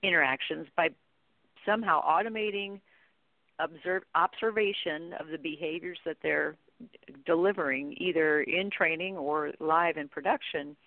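A middle-aged woman with a gravelly, frying edge to her voice talks as an expert guest in an interview. She explains conversationally and informally. The sound is narrow and phone-like, with a dry, close character. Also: low-pitched, moderate pace, no echo. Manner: explanatory, informal, peppered with "you know". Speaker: guest, contact center training expert. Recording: narrow, tinny phone-like line.

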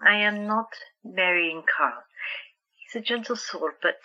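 A woman's voice: mid-range voice, a little slow, bright, forceful tone, lo-fi sound. A woman playing a stubborn young sister plagued by visions in an audio drama, speaking earnestly and insistently. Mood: somber.

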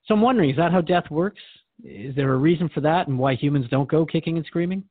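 A man's voice: fairly high pitch, fast, dry recording, close to an everyday voice. Male performer, earnest radio host, reflective, conversational interview style, dry room, narrow tinny band. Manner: reflective, conversational, hesitant at times.

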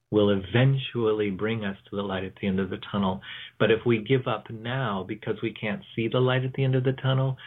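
A man hosting a radio show, speaking in an unscripted, reflective monologue with measured phrasing and pauses mid-sentence. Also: fairly low pitch, breathy edge. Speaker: tarot reader. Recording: thin phone-like audio.

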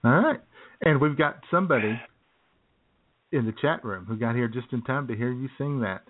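A man's voice: mid-range voice, normal speed. A man hosting a live radio show in a casual, chatty style. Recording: muffled lo-fi internet radio.

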